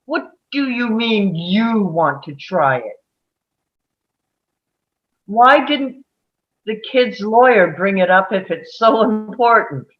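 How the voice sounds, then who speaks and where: A woman's voice: medium pitch, lively intonation, slow, pauses often, dull audio. A woman at a play table read, playing a stubborn, combative juror in a dismissive, challenging manner.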